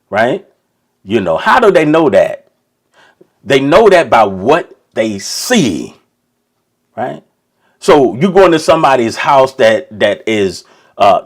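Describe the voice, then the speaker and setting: Rambling man preaching. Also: mid-range voice. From Kentucky. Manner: rambling, many restarts and "right?" checks. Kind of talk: informal sermon.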